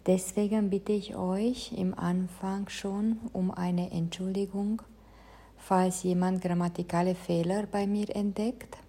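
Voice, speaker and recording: A woman who teaches meditation explains her classes in careful, measured speech, as a non-native speaker of the language. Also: mid-range voice, flat delivery, warm tone. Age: adult, past her teens. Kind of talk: calm spoken introduction to meditation.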